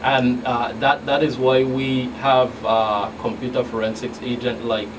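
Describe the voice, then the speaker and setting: Middle-aged male agent as radio guest, halting delivery with repeated words, distant mic, clear background hum. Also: medium pitch, level tone. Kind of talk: radio interview answers. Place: small radio studio.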